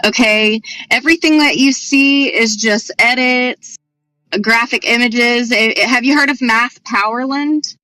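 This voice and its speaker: A woman's voice: on the high side, unhurried, pushed and bright, no room sound, soft background music, low-quality recording. A woman on a voice chat panel, explaining at length in a chatty, lecturing way. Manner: chatty, lecturing, calls listener hun. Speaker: panel member.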